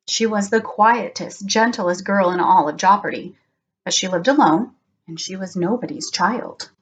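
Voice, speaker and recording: A middle-aged woman reads a storybook aloud for children. Her delivery is expressive and deliberate, with a medium-pitched voice that suits a storytelling session.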